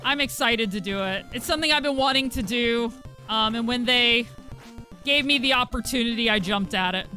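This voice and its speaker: Husky-voiced woman streamer, bright and chatty. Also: fairly high pitch, moderate pace, soft background music, rough recording. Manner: chatty, thanks viewers, frequent fillers and restarts. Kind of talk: casual chat while gaming.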